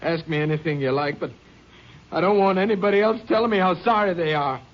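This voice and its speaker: A grieving husband in an old radio drama, his voice slightly high and pleading. The sound is muffled, like a 1950s broadcast. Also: quick pace. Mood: anguished. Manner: pleading, anguished. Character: grieving husband, farmer, middle-aged man.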